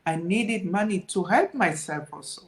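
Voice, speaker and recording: A woman speaks over a video call. She tells her story in a reflective way and leans on "you know" between thoughts. Her voice sits at a middling pitch. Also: lively intonation, moderate pace. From Democratic Republic of Congo. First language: French. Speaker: guest entrepreneur. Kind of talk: casual interview storytelling.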